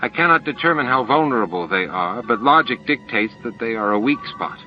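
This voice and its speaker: A man voicing a composed, logical female alien science officer in a science fiction audiobook, giving measured technical reports. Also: mid-range voice, expressive, highs cut off, faint music behind, natural voice. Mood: precise, matter-of-fact.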